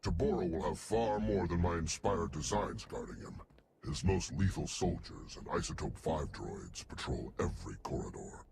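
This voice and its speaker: Vain haughty scientist in a video game, really low crackly voice, bit of noise behind. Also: male voice, animated, normal speed, vocal fry, a crowd in the background. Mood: scornful boasting. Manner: pompous, haughty. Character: vain, pompous male scientist and weapons designer.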